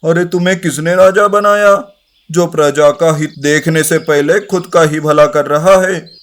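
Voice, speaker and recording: A man voicing a big, stubborn, indignant elephant in an animated moral story, argumentative in manner. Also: fairly high pitch, unhurried, natural voice. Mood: defiant. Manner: defiant, argumentative.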